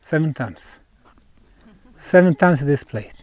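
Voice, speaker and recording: A man with a Bosnian accent explains a site on location as a researcher, in deliberate, emphatic short phrases with pauses. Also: a little high, lively intonation, a little mellow, no room sound. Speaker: researcher presenting a field investigation.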